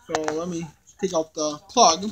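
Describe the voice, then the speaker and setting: Young man talking haltingly through a demo. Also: a little high, fairly even intonation, background noise. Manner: halting, repetitive explaining. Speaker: hobbyist video maker. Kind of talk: casual unboxing demonstration. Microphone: handheld camera, no tripod.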